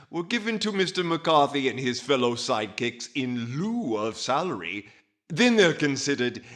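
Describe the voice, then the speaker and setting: A man's voice: fairly high pitch, a bit bright. A man playing a snarky, officious tax agent with a prissy, sarcastic manner in a 1940s radio comedy.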